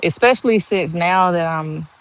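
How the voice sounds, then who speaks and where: A woman in her forties with a monotone voice, chatting slowly and candidly on a livestream and answering viewers' comments. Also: fairly low pitch, clean tone, low-quality recording. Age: 40s. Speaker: livestream host. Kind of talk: casual live chat answering viewer comments.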